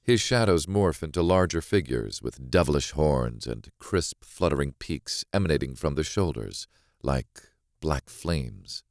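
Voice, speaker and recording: Extremely deep-voiced male thriller narrator. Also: animated, measured pace, no room sound, clean studio sound. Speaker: audiobook narrator. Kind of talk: first-person dramatic scripted narration of a thriller.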